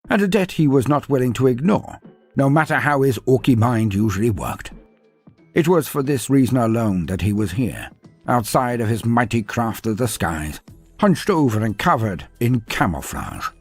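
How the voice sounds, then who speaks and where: A man's voice: medium pitch, breathy edge. A man narrating a scripted fantasy story in a theatrical, florid and whimsical way. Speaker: narrator of fantasy lore stories.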